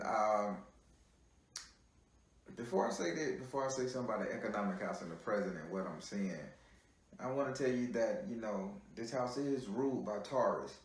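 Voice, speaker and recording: A man's voice: fairly low pitch, a bit bright. A man giving a tarot card reading on a call, chatty and teasing, repeating his words as he reads the cards. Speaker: tarot reader. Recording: heavy room echo.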